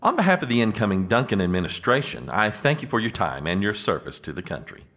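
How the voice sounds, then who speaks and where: Man acting low-pitched American chief aide, officious, formal, strained patience, expressive intonation, audio comedy. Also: quick pace. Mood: politely formal. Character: exasperated, officious male presidential chief aide.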